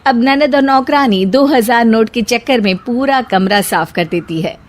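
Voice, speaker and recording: A woman's voice: a little high, moderate pace. A woman reading scripted cartoon story narration as the storyteller.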